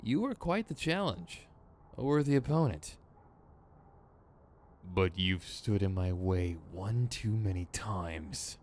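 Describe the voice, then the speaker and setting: A man's voice: low voice, expressive, slow, long pauses, darkish tone, slight background noise. A man playing a theatrical, sardonic supervillain in a scripted ASMR roleplay, exasperated and smug, with mock menace and a grumbling, rambling streak. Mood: smug, coaxing.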